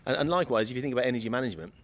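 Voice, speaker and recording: Quick-talking croaky man in his forties. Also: medium pitch, lively intonation. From United Kingdom. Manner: chatty, repeats words while finding them, quick. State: slightly croaky voice. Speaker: guest from an electrical equipment maker. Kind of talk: casual podcast chat.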